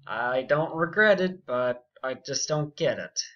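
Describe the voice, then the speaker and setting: A young man telling a story casually and unscripted, rambling and halting, with frequent asides. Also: medium pitch, lively intonation, a bit bright. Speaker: YouTube storyteller. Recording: dull, muffled lo-fi audio.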